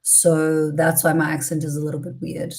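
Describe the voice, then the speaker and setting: Italian woman, British-schooled accent, low voice. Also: moderate pace. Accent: British-educated English with a slight American twang. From Italy. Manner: chatty and relaxed, many fillers, chants flowing syllable strings. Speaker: spiritual channeler hosting a livestream. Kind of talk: casual livestream chat with channeled chanting.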